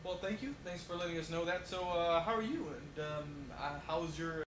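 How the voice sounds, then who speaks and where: A man's voice: fairly high pitch, moderate pace. Rambling male talk show host, echoey. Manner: rambling, filler-heavy. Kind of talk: mock talk show interview. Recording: echoey room with loud noise.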